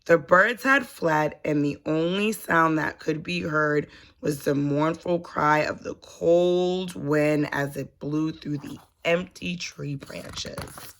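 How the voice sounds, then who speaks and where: A woman reading a children's story aloud in an expressive, deliberate storytelling manner. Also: deep voice. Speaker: storybook reader, teacher.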